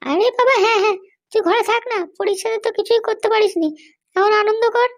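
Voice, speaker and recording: A woman voicing a bossy, hot-tempered mother in a comic cartoon, with sharp scolding talk. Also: extremely high pitch, fairly even intonation, fast.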